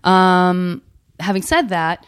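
Woman, podcast host, lowish voice, dry recording, casual chat, effusive and affirming. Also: takes it slowly, clean tone, no echo.

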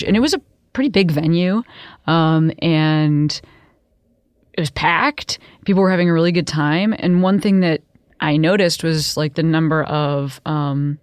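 A woman's voice: fairly low pitch, smooth, no echo, studio quality. Rambling woman in her thirties hosting. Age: 30s. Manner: rambling, lots of "like" and "um", self-correcting. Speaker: podcast host. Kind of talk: casual interview chat.

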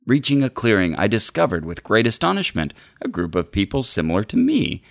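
A man's voice: lowish voice, big pitch swings, fairly quick, gravelly, no echo, studio quality. A man co-hosting a podcast, chatting and reading book passages aloud. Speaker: podcast co-host.

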